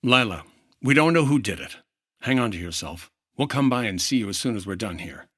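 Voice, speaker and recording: An actor playing a cool, world-weary hard-boiled insurance investigator in a 1950s-style radio detective drama. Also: male voice, on the low side, lively intonation, a little fast, a bit bright, slightly rough, no echo, studio quality. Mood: tender.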